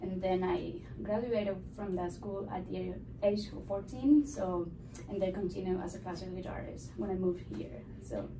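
A woman's voice: fairly low pitch, fairly even intonation, moderate pace, gravelly. A young woman from Cuba, a classical guitarist, answering interview questions eagerly with many fillers. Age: young adult. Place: small room with a framed map on the wall. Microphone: stand mic, filmed by a far camera. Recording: roomy, distant, noisy.

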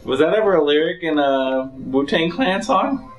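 A man co-hosting a gaming show, reacting loudly, laughing and teasing his partner as they play. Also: fairly high pitch, moderate pace. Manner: excitable, swearing, laughing, teasing. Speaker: co-host playing along. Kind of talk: casual let's-play commentary. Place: indoor room, seated on a couch. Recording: roomy echo with loud game music.

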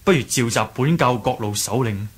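A young martial-sect leader in an old Cantonese radio drama. He is courteous and sincere, with a husky, medium-pitched voice and a measured Hong Kong delivery. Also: expressive. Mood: earnest.

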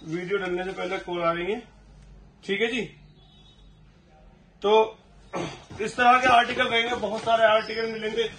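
A man in his thirties talks through his shoe stock in a high voice, keeping up a steady seller's patter. He stands some way from the mic, and the bare warehouse around him rings with echo. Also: moderate pace, pauses often, a little hiss or hum. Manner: pitching stock, repeating "thik hai ji". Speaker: shoe wholesaler. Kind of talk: sales pitch to camera. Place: large tiled warehouse stacked with shoe boxes. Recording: echoey warehouse, mic at a distance.

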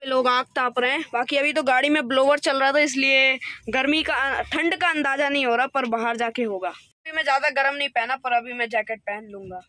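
A man's voice: extremely high pitch, a little fast, pushed and bright, no echo. A boy filming a casual travel vlog on a phone held at arm's length, outdoors and in a moving car. He talks in a chatty way and keeps saying "okay" and "guys". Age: young. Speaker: travel vlogger.

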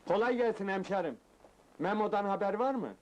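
High-voiced, plaintive working man played by a man. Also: fairly quick, darkish tone, dull audio, lightly acted. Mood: friendly, insistent. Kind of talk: Turkish comedy film acting.

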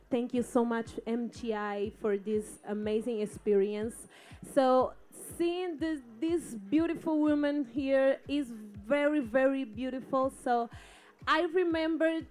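A woman's voice: sits high, a little mellow. A woman from Angola, a pageant titleholder, giving a gushing stage speech. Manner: gushing, laughing.

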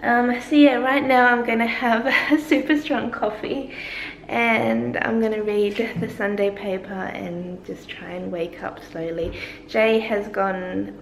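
Tired woman with a croaky, gravelly voice. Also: on the high side, fairly even intonation, takes it slowly, slight background noise. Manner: chatty, rambling, laughs often. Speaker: vlogger. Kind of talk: casual daily vlog. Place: home kitchen. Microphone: handheld camera at arm's length. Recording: echoey kitchen with faint ambience.

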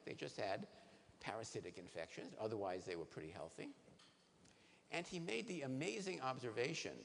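A man in his sixties, a neurologist, giving a lively science lecture to patients on a conference stage, asking the audience questions. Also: medium pitch, vocal fry. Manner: animated, asks the audience questions. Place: large conference hall stage. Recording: reverberant hall, faint noise.

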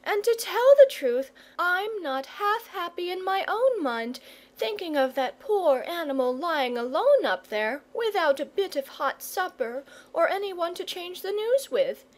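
A woman voicing a sensible, kindly rustic mother with a homely, gently fussing way of talking in a storybook. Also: very high-pitched, big pitch swings, a little slow, voice pushed up, heavily acted. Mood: kindly concern.

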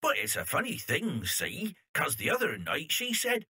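A man's voice: fairly high pitch, wildly swooping pitch, moderate pace, bright, forceful tone, husky, no echo, studio quality, exaggerated voice. A man playing a gossipy middle-aged hostler in an audio drama, with a drawling West Country accent. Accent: English West Country.

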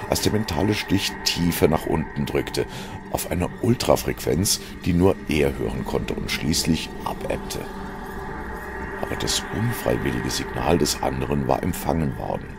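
A man's voice: rumbling low, lively intonation, moderate pace, vocal fry. A man reading scripted science fiction as a narrator, in a dramatic style. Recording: music behind the narration. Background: music.

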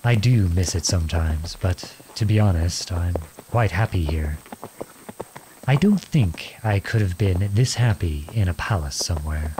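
A man playing a gentle, chivalrous young outlawed prince in a romantic fantasy audio roleplay, speaking in formal storybook phrases. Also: sits low, animated, unhurried, noisy background. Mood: wistful, tender. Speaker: ASMR roleplay voice actor. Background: horse hooves clip-clopping.

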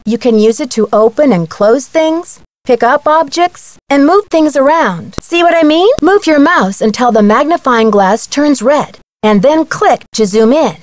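A woman's voice: sits high, no room sound. Perky girl detective for a video game, really bouncy intonation, friendly and helpful. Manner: friendly, clear instructional delivery. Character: young female amateur detective, chipper, helpful, confident. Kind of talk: scripted video game tutorial narration.

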